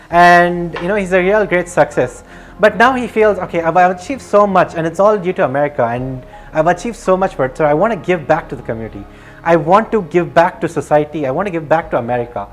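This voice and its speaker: A video narrator telling a life story off the cuff, hesitant and rambling, often restarting and repeating phrases. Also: medium pitch. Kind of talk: casual unscripted storytelling.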